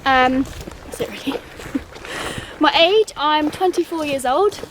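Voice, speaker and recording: A woman's voice: sits high, takes it slowly, rough recording. A woman in her twenties from England, chatting casually with lots of fillers while she walks outdoors in a forest. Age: 20s. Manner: chatty, frequent fillers, laughs at herself. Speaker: vlogger. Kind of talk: casual chat while walking. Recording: loud outdoor background noise.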